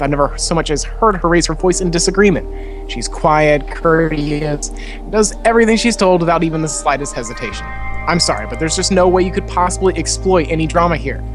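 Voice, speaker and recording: A man voicing a gossipy Valley Girl-style letter writer in a visual novel, chatty and informal, with drawn-out words. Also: a little high, close to an everyday voice. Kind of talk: acted reading of visual novel dialogue. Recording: music playing clearly behind.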